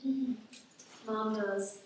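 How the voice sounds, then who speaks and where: A woman's voice: mid-range voice, fairly even intonation, drawn-out words. A woman playing a bossy, scheming girl, the ringleader in a radio drama. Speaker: actor in an amateur radio play. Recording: echoey room, distant mic, faint noise.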